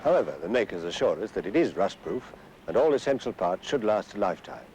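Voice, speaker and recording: An actor playing a deadpan, clipped motoring reviewer in a scripted public information film. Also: male voice, fairly low pitch, fast talker. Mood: dry, deadpan. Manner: dry, clipped, matter-of-fact. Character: deadpan motoring reviewer assessing a pedestrian as a car. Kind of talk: mock road-test report, scripted narration. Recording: noisy old film soundtrack, traffic.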